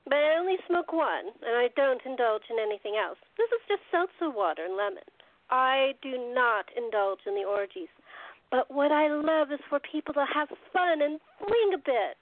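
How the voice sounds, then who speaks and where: A woman's voice: sits high, animated, moderate pace. A woman playing an indulgent, languid and coquettish 1920s socialite hostess in a radio play, playful but firm. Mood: breezy, indulgent. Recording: tinny, phone-like sound with echo.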